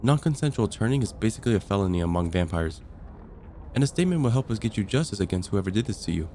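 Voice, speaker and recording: A man playing a composed, matter-of-fact vampire counselor in an ASMR roleplay, speaking in a measured, clinical way. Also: on the low side, animated, dark tone, slight background noise, close to an everyday voice. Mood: clinical, reassuring.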